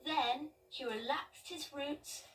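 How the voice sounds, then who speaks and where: A woman reading children's stories in an expressive storytelling style. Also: high-pitched, slow, noisy background. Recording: distant mic, music underneath. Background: music.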